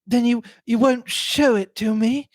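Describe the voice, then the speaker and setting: A man's voice: very high-pitched, fairly even intonation, a little slow, no echo, studio quality, voice pushed up. A man voicing a tense married woman who is strained, insistent and desperately controlling her bitterness in a story. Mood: controlled desperation.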